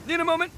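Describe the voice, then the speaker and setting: A man's voice: high-pitched, vocal fry, a bit bright, slight background noise, heavily acted. A man voicing a brash, boyish young oni brawler in a video game, with theatrical, stammering bluster.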